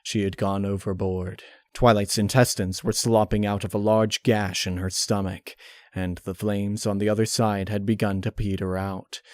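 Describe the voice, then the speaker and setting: A man reading a dark horror story aloud as a scripted narrator, close on the mic. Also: low voice, dry recording.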